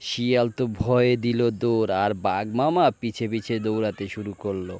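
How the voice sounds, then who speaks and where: A man's voice: fairly low pitch, steady tone, moderate pace, a little mellow. A man narrating a scripted children's animal fable as storyteller.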